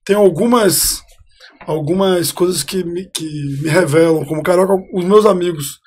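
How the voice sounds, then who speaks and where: A man in his forties from Rio de Janeiro, a guest telling his life story in a relaxed, talkative way on a podcast. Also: sits high, normal speed, breathy edge. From Rio de Janeiro, Brazil. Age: 40s. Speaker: guest, city councillor. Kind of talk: casual storytelling interview.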